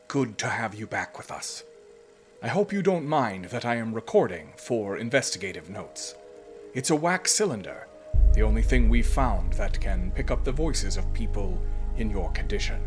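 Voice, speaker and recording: An actor playing a calm, grave investigator who wakes dead souls, speaking formally and soothingly in a horror audio drama. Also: male voice, mid-range voice, normal speed, close to an everyday voice. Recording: music behind the voice.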